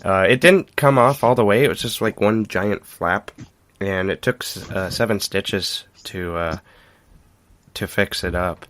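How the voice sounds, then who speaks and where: A man hosting a podcast in casual chat, telling things in a halting, informal way with frequent chuckles. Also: on the low side, a bit bright. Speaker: podcast host.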